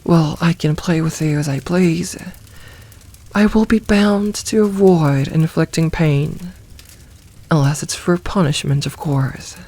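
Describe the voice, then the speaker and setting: A woman voicing a possessive, teasing, dominant demoness in a soft, intimate ASMR roleplay, commanding and deliberate. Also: low-pitched. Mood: firm, indulgent.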